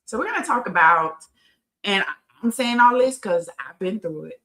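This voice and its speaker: A woman's voice: mid-range voice, expressive, moderate pace, pushed and bright. The fifty-year-old woman you would hear hosting an evening women's health session, explaining things conversationally and driving points home with emphatic repeats. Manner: emphatic, conversational, repeats phrases for stress and checks in with "right?". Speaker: host of a women's health talk show. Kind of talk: informal educational talk with personal anecdotes.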